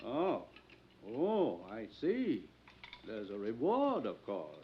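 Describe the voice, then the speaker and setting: An actor playing a wealthy, courteous mansion owner in a 1950s radio drama, speaking smoothly and guardedly. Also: male voice, mid-range voice, wildly swooping pitch, takes it slowly, a little hiss or hum. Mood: polite. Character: wealthy polished mansion owner, male, middle-aged. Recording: dull old radio transcription.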